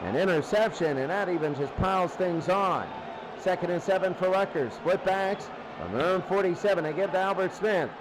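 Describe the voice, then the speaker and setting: A man's voice: high voice, moderate pace, noisy background. A man doing live radio play-by-play of American football, repeating down and distance in short bursts. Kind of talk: live sports radio commentary.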